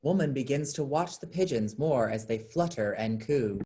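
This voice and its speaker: A woman's voice: a bass voice, animated, normal speed, warm tone. A woman reading scripted stage directions as narration for a play.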